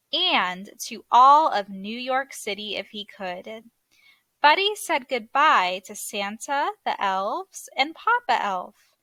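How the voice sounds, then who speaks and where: Female storybook narrator for kids, fairly high bright voice, unhurried and expressive, close dry sound. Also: dry recording, low-quality recording. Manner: expressive, deliberate read-aloud delivery. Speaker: teacher reading a children's story aloud. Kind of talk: scripted storybook narration for children.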